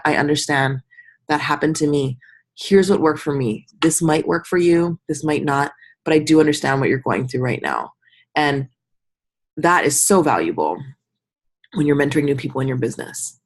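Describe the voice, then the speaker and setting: Low-voiced, slightly husky woman coaching a group. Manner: conversational, encouraging, often acting out example remarks in the listener's voice. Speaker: business team mentor and group coach. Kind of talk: informal coaching talk on a group review call.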